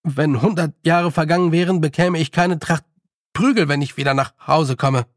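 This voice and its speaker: A man's voice: on the high side, moderate pace, no room sound, studio quality, pitched above the performer's own voice, natural voice. A man voicing a young boy in an audiobook, lordly but naive and nervous, with a hesitant, stammering manner. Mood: alarmed. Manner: hesitant, stammering, slightly haughty. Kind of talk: audiobook character voice.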